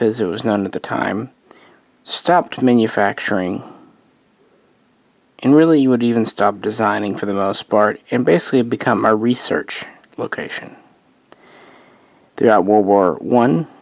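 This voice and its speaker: A man with a somewhat low voice that is going a bit rough as he loses it. He narrates history in a casual, meandering way, correcting himself and tossing in offhand asides. The sound is dry, with no room echo. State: losing his voice. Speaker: host.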